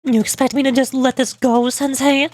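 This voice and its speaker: A woman's voice: sits high, level tone, moderate pace, darkish tone, soft background music. Stern female enforcer for a game story, acted by a woman streamer, severe, indignant, commanding. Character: stern, indignant, strict female officer of a gang.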